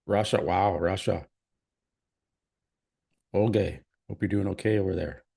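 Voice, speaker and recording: A man's voice: sits low, unhurried, creaky, mellow, breathy edge. A man with a Canadian accent hosting a live stream, chatting casually and reading out where viewers are from. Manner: chatty, reads out viewer comments. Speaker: livestream host.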